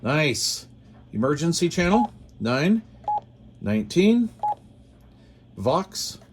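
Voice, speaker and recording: A man's voice: fairly high pitch, sing-song, very slow. Casual man reviewing gear over background noise. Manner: informal, musing aloud in short phrases. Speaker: host of a radio gear channel. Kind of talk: casual unboxing and product commentary.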